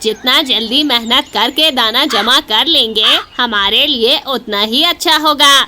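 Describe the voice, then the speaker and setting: A woman's voice: high-pitched, measured pace, pushed and bright, a little hiss or hum, full character voice. A woman voicing an agreeable, diligent male bird, earnest and determined, in a children's cartoon story.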